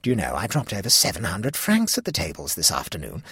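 A man's voice: lowish voice, huge pitch swings, fast talker, a bit bright, husky, no room sound, studio quality, full character voice. A man voicing a cheerful, self-assured old aunt who has taken up gambling. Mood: breezy.

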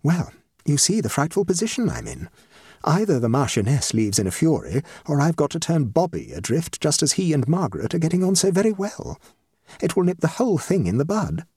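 Storybook role: male reader as dramatic middle-aged hostess, anxious and effusive, swooping high, slightly muffled. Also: wildly swooping pitch, a little fast, darkish tone, husky, no room sound, clean studio sound, full character voice. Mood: distraught. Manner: emphatic, effusive, self-dramatizing. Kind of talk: comic short story reading.

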